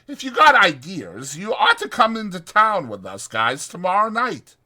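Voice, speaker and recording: Man acting excitable high-pitched young ranch hand Whit. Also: normal speed, full character voice. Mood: eager, boastful. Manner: folksy, slangy, ungrammatical drawl.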